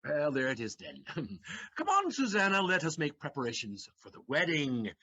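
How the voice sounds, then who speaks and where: A man playing a quick-witted, cheeky manservant in a comic period play, theatrical and given to stammering evasions, on a slightly dull recording. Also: a little high, wildly swooping pitch, bright, forceful tone, breathy edge, full character voice. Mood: flustered. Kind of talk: comic period stage acting.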